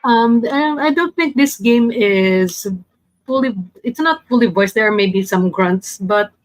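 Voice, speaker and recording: A woman's voice: on the high side, a little slow, no room sound. A woman casually presenting as a podcast panelist, a little hesitant as she searches for her words. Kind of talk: casual presentation in a group chat.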